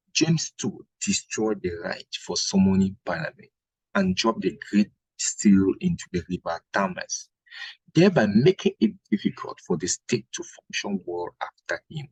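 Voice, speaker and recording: A man with a Cameroonian accent teaching an exam revision lesson in a didactic way, repeating phrases, with a husky, gravelly voice. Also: lowish voice, very animated, measured pace, a little mellow, dry recording, rough recording. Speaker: history teacher.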